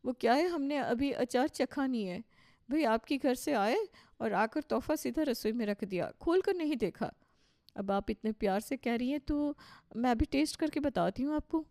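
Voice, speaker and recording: Woman acting older aunty, hesitant, polite, evasive, mellow tone, raised pitch. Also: animated, moderate pace, no room sound, studio quality. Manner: hesitant, placating. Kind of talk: Hindi cartoon story dialogue.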